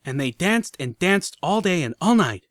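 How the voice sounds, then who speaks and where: A man voicing an excitable young pink filly who squeals, giggles and bounces as she talks. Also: high-pitched, normal speed, no echo, clean studio sound, pitched above the performer's own voice. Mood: enthusiastic. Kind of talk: audiobook character reading.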